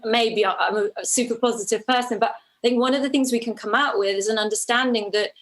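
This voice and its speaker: A woman's voice: fairly high pitch, fairly even intonation, fast talker. A woman from Ukraine speaking as an interview guest, a documentary filmmaker who answers conversationally and thoughtfully, often restarting her phrases.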